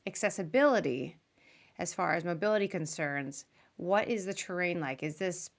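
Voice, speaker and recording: A woman's voice: lowish voice, animated, moderate pace. A woman hosting a casual live interview, chatty and informal as she puts questions to her guest. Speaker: podcast host.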